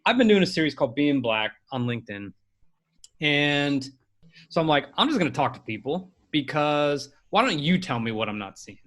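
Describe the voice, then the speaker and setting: Bright-voiced male podcast host. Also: fairly high pitch, sing-song, moderate pace. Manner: laid-back, rambling questions, laughs easily. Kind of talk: casual interview.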